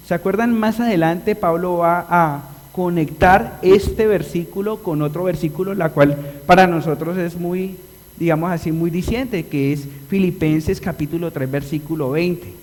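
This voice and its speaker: A man preaching a live sermon in a chapel, explaining a text and asking the congregation questions, drawing out key words slowly. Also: high-pitched, a little mellow. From Colombia. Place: church chapel. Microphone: livestream recording. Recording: long reverb of a church hall.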